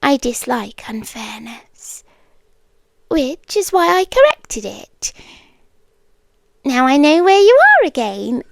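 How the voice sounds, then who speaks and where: A British woman playing an obsessive female monster in an intimate ASMR roleplay, speaking in measured, formal phrases that often drop into whispers. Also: sits high, big pitch swings, creaky, dry recording, heavily acted. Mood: calm possessive. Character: obsessive yandere female monster with tail, claws and venom.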